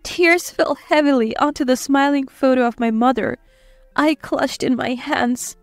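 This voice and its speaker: Brief: female narrator, higher voice, emotive scripted drama, dry sound with light music behind. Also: unhurried, no echo. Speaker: story narrator. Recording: dry close voice over faint music. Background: soft background music.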